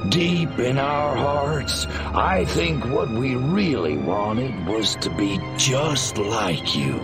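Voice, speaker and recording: Sarcastic alien invader, wisecracking, unhurried, swooping pitch, video game cutscene, music behind. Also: male voice, animated. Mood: mock sincere. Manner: mocking, wisecracking. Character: cocky, sarcastic, bloodthirsty little alien invader.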